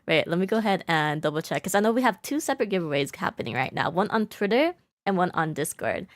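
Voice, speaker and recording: A woman's voice: lowish voice, dry recording. A woman co-hosting a livestream, reading viewer questions and announcements in an upbeat way. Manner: upbeat, laughs often, reads chat questions. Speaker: stream co-host.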